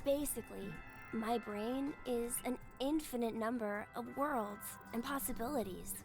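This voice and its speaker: A woman voicing a calm, earnest young robot in a video game, explaining in measured, deliberate phrases. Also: a little high. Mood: calm, explanatory. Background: game music.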